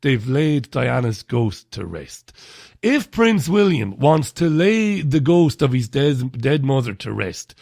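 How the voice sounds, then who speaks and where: A man in his forties hosts a live radio show from a studio, chatty, blunt and sardonic. His voice is gravelly and a little throaty, with plenty of fry. The sound is dry and close, with no room echo. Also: medium pitch, big pitch swings, takes it slowly, darkish tone. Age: 40s. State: slightly throaty.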